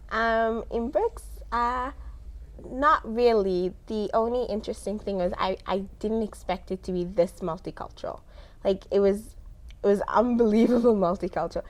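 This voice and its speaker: A woman's voice: medium pitch, normal speed. A young woman from South Africa whose mother tongue is Somali, answering interview questions conversationally and often chuckling as she explains. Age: young adult. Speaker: interview guest.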